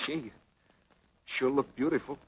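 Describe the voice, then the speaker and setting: An actor playing an earnest young male boxer who speaks plain street slang in a hushed, sincere way, in 1940s film style. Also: mid-range voice, fairly even intonation, normal speed, breathy edge. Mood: tender. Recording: dull old film soundtrack with music.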